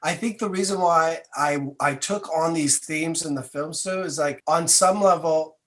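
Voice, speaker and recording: Male podcast guest, slightly high voice, reflective and sincere, conversational delivery. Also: normal speed, fairly bright tone. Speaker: interview guest, filmmaker.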